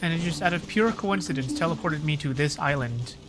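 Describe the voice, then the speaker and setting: A man's voice: on the high side, expressive, brisk. A young man streaming a game, muttering wryly to himself as he puzzles through it. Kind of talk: casual gameplay commentary. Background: game music.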